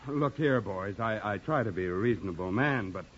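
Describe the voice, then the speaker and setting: An actor playing a gruff, exasperated construction foreman in a commanding, blustering 1940s radio drama style. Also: on the low side, animated, normal speed, lightly acted. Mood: stern. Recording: muffled, hissy 1940s radio recording.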